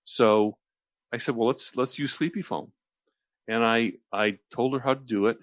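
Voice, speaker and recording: A man with a deep voice explains a relaxation technique conversationally as a radio host, often circling back and repeating phrases. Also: normal speed, dry recording.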